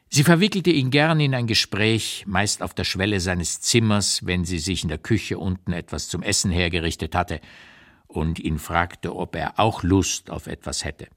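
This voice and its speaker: An actor playing an aged, blunt, keenly observant writer looking back, in a measured literary radio reading with deliberate pauses. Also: male voice, fairly low pitch, full character voice. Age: older.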